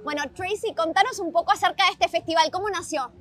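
A woman hosting a podcast, asking people quick questions at an outdoor festival. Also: extremely high pitch, a bit bright, faint music behind. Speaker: podcast host. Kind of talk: street interviews at a festival.

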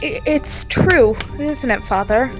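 A young woman playing a nervous, guilt-ridden daughter in an old-time radio drama, halting and theatrical. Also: sits high, over music. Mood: distressed, reluctant.

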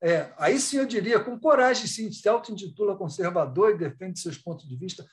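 A Brazilian man speaks in a somewhat high-pitched voice, as a researcher would in an online panel discussion. His delivery is unscripted and discursive. He moves haltingly through his thoughts, repeating words, rephrasing and dropping in small tag questions. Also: animated. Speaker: academic researcher and thesis supervisor. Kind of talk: spontaneous panel discussion in an online live talk.

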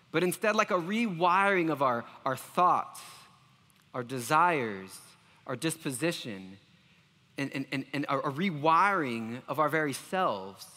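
A man's voice: on the high side, lively intonation, measured pace, bright, forceful tone, in a large hall. A man preaching a sermon as pastor, earnest and self-deprecating, often restarting his phrases.

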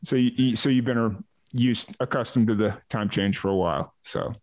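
Casual male interview host, halting delivery. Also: medium pitch, fairly even intonation, a little mellow. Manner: halting, repeats words.

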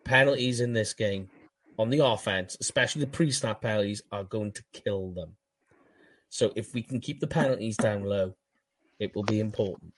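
A man's voice: lowish voice, moderate pace, dry recording. Welsh man chatting about football. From Wales. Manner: animated, laughs at himself. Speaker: podcast co-host. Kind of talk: casual sports chat.

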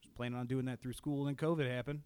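A man in his mid-thirties co-hosting a podcast in casual chat, easygoing and quick to laugh. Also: medium pitch, fairly even intonation, darkish tone, clear, resonant tone, dry recording. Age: 30s. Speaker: podcast co-host.

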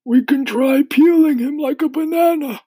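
High-pitched old dramatic grasshopper. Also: male voice, moderate pace, warm tone, no echo, studio quality. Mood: helpful. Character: old green male grasshopper, genteel and dramatic.

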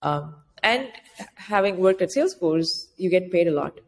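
A woman's voice: lowish voice, animated, normal speed. A woman being interviewed as a guest, giving practical advice in an informal, conversational way, step by step with examples. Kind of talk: conversational explanatory interview answers. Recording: noisy, very reverberant room, clicks.

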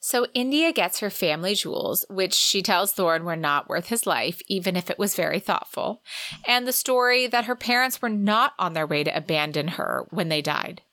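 A woman's voice: fairly low pitch, expressive, moderate pace, pushed and bright, dry recording, studio quality. A woman co-hosting a podcast, speaking in a conversational presenting style. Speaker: podcast co-host. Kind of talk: conversational story recap and show notes.

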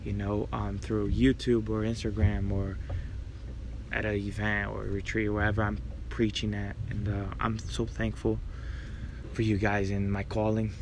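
A man's voice: on the low side, flat delivery, unhurried, vocal fry, noisy background. A young man, hosting his own faith podcast and sharing a personal testimony in an earnest, grateful, reflective way. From Brazil. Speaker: podcast host and preacher.

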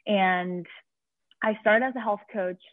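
A woman's voice: medium pitch, slow pace, dry recording. A woman from Venezuela with a Venezuelan Spanish accent, a podcast guest talking energetically with many restarts. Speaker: guest, career coach. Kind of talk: podcast interview. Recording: dry, tinny phone-like line.

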